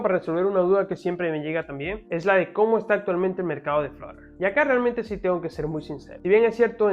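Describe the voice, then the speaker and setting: Fast-talking young man, tinny sound. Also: on the high side. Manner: friendly, direct, addresses viewer as tú, dry jokes. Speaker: developer teaching a learning path. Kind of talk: casual tutorial talk to camera.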